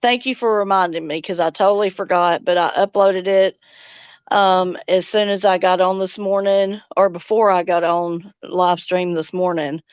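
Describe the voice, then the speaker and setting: A woman's voice: fairly low pitch, steady tone, moderate pace, clean tone, dry recording, dull audio. A middle-aged woman hosting a casual livestream, chatting and answering viewer comments. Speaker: livestream host and homesteading vlogger.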